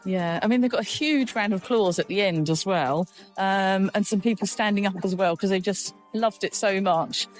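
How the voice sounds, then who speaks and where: A woman hosting a podcast in casual chat, croaky from a cold, chatty and teasing. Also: medium pitch, normal speed, few pauses, soft background music, rough recording. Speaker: podcast host.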